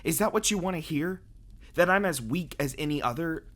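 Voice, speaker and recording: A man's voice: on the high side, lively intonation, brisk, a bit bright. A man voicing a genteel, plaintive sentient star who rules over vampires, in an audio roleplay. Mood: anguished, confessing. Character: guilt-ridden, lofty sentient star, reluctant ruler of vampires. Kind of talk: ASMR audio roleplay acting.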